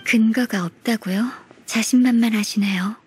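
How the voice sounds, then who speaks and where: An actress playing a polite, composed senior official in a video game, courteous and firm in a measured, formal way. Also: female voice, on the high side, breathy edge. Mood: calm, firm.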